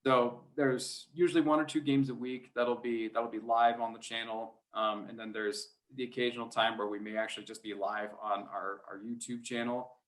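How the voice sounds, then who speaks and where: A man's voice: mid-range voice, level tone, normal speed, few pauses. A man speaking as an interview guest, a sports announcer telling anecdotes in an easygoing, chatty, self-deprecating way. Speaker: podcast guest, high school sports play-by-play announcer.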